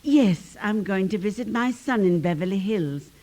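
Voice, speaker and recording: An actress playing a sprightly, teasing woman in her seventies in a 1950s radio comedy, chatty with deadpan delivery. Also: medium pitch, moderate pace, close to an everyday voice. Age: older. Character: sprightly teasing old lady train passenger, seventy-two. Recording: hissy old radio recording.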